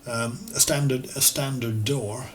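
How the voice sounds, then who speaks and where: A man with an Irish accent teaching architectural CAD over a screen recording, relaxed and thinking aloud as he works, often correcting himself. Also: mid-range voice, a little slow. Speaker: architectural designer and CAD tutor. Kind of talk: screen-recorded software tutorial.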